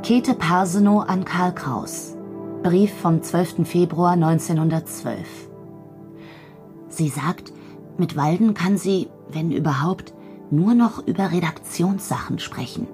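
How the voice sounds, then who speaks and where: Music plays clearly behind a woman letter-writer of 1910s bohemia in a radio drama. Her pitch is a little low for a woman. She tells things in a measured, observant way with dry amusement. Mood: confiding, concerned.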